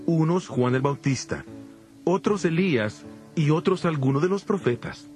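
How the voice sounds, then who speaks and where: Actor as fairly high-voiced male disciple. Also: lively intonation, normal speed, a little mellow, faint music behind, lightly acted. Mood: respectful, informative. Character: male disciple of Jesus, answering respectfully. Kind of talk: dramatized audio Bible acting.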